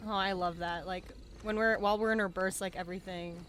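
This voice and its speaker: A woman's voice: mid-range voice, steady tone, fairly quick, fairly bright tone, a little hiss or hum. A woman giving casual, playful live commentary as she streams a game. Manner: playful, informal.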